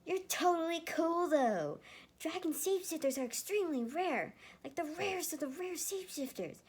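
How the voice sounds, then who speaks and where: Female reader as excitable fantasy kid, young boy, high voice, earnest, gushing wonder. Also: moderate pace. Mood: awestruck excitement. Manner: gushing, enthusiastic. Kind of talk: dramatized fan fiction reading.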